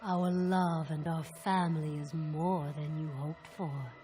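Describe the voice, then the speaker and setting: An actress playing a knight's loving, dreamlike wife in a video game, slow and lulling. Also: female voice, low-pitched, steady tone, mellow. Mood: seductive, soothing. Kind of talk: video game character acting.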